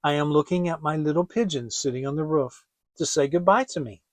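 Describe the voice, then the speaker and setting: A man voicing a gentle young boy, a protective big brother in a storybook, soothing and reassuring. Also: on the high side, a little fast, lightly acted. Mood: mild.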